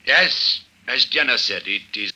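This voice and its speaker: A man's voice: mid-range voice, animated, unhurried, noisy background, natural voice. A man playing an uneasy, indignant suspect in an old radio drama, hesitant and affronted. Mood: shaken. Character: uneasy, indignant male climber under suspicion.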